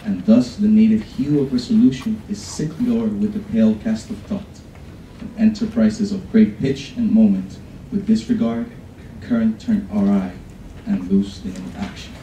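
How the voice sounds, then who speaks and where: A young man reciting a classic monologue at a poetry reading contest, solemn and measured, at a podium in a large room. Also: fairly low pitch, very animated, dark tone, distant mic, a little hiss or hum. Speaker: contest reader. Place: large college event room, from far off. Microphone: podium mic, far camera. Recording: echoey room recorded from afar.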